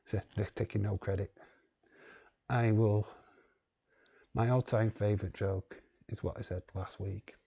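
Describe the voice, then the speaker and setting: A man's voice: on the low side, fairly even intonation, unhurried, vocal fry, pauses often, mellow, slightly rough, dull audio. A man hosting a live watch-along stream, chatting casually and reading the chat aloud in a rambling way. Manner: rambling, reads chat aloud, chuckles often.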